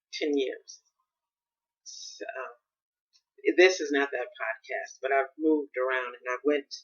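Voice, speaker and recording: Rambling American woman podcaster, bright tone. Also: extremely low pitch, breathy edge, muffled recording. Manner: rambling, thinking aloud with frequent restarts. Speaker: podcast host. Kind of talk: solo reflective podcast monologue.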